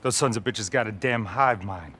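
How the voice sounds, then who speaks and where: A man's voice: lowish voice, moderate pace, pushed and bright, slight background noise. A man playing a brash, foul-mouthed soldier mentor in a video game, talking in a slangy, brotherly, swaggering way. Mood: confident, commanding. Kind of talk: video game cutscene acting.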